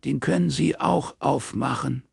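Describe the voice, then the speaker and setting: An older woman in a storybook crime novel, acted out by a male reader, pitched high. She is shy and childlike, and speaks bluntly in short, simple sentences. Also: unhurried, gravelly, slightly rough, no echo, studio quality, heavily acted. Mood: blunt, guileless.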